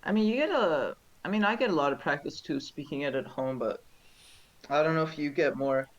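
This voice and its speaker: A teenage boy co-hosting a podcast, chatting casually, asking questions and pausing to search for words. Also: fairly high pitch, highs cut off. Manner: asks questions, lively and thoughtful, searches for words. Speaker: podcast co-host. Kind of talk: casual chat.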